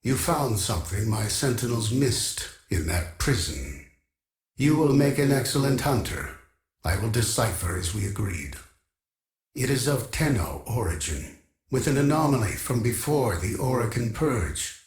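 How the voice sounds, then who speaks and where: An actor playing an imperious artificial intelligence archivist in a video game, speaking in a formal, pompous, deliberate way, obsessed with knowledge. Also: male voice, mid-range voice, very animated, roomy sound. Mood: grandly commanding. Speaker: quest-giving game character. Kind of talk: scripted video game voice acting.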